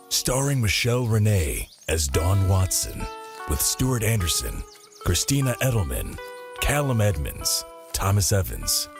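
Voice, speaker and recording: A man's voice: low-pitched, creaky. A man announcing a title and cast credits in a dramatic, scripted style. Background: music.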